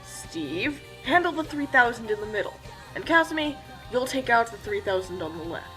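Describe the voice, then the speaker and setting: A woman's voice: on the high side, sing-song, normal speed, pushed and bright, faint music behind, rough recording. A woman voicing a grumpy, sarcastic and exasperated male archer sidekick in a comic story. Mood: matter-of-fact.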